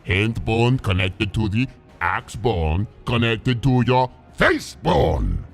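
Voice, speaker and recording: An actor voicing a hulking, dim-witted mad brute who thinks he is a doctor, with a gravelly voice and broken caveman grammar, for a video game. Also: on the low side, very animated, takes it slowly, faint music behind, heavily acted. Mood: playful sing-song. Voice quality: gravelly, husky. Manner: broken caveman grammar, speaks of himself in third person. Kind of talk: video game character voice lines.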